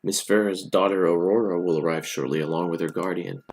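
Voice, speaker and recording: A man's voice: low-pitched, fairly even intonation, fairly quick, darkish tone. A man voicing a polite, literal-minded machine assistant in a story, formal and deferential. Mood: cheerful. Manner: formal, deferential, matter-of-fact.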